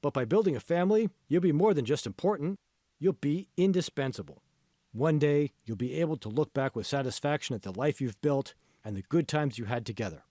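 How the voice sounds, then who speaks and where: Man acting out a male mentor, earnest, instructive, emphatic, animated pitch, audiobook dialogue, dry recording. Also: brisk, dry recording, lightly acted. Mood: earnest, encouraging. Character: older male mentor Hall, earnest, forceful, lecturing.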